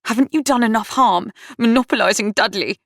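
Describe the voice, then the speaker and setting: A woman voicing a fiercely protective, sharp-tempered young woman in an audiobook. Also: medium pitch, fairly even intonation, moderate pace, vocal fry, breathy edge, dry recording, studio quality. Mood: hostile, accusing. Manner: cooing to her brother, snapping at rivals.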